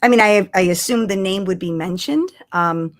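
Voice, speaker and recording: A woman's voice: mid-range voice, normal speed. A woman chatting casually and expressively as co-host of a fan livestream.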